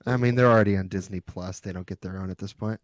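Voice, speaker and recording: A man's voice: deep voice, fairly even intonation, fast talker, no echo, clean studio sound. A man chatting casually as a podcast co-host, with wry, joking asides. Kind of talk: casual group chat about pop culture.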